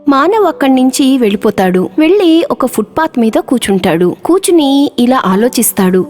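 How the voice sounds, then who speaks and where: A woman narrating a children's cartoon story in a measured storytelling manner. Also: high-pitched, very animated, darkish tone, soft background music.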